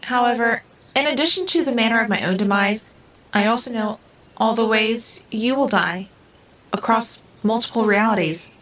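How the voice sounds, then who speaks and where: A woman's voice: a little high, normal speed, a bit bright, slight background noise, natural voice, poor audio. A woman playing a formal, literal artificial being in an audio drama, speaking in a precise, stilted, unemotional way. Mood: calm, detached.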